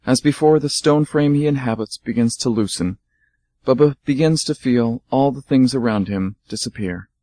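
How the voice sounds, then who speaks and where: A man narrating an amateur radio drama in a calm, even storytelling voice. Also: mid-range voice, moderate pace, dry recording.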